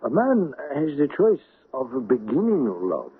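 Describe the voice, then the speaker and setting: A wise old-radio confidant, very raspy and slow. He speaks formally and philosophically, each phrase measured, through a narrow lo-fi recording. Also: medium pitch, big pitch swings, heavily acted. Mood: calm, probing. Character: sage, measured male confidant named Chung Lee. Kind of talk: radio drama acting.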